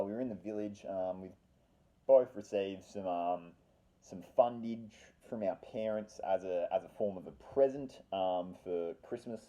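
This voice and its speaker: Deep-voiced man telling a rambling story. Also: dark tone, dull audio. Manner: rambling, sweary, slangy. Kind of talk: casual anecdotal storytelling.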